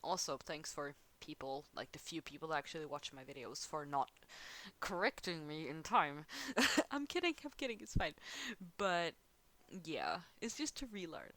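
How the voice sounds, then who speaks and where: Swedish girl chatting over her drawing, bit low voice, laughs at herself a lot, headset mic right by her mouth. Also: expressive, moderate pace, bright, forceful tone. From Sweden. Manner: rambling, giggly, self-deprecating. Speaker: art channel host. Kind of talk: casual chat over drawing. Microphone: headset close to mouth.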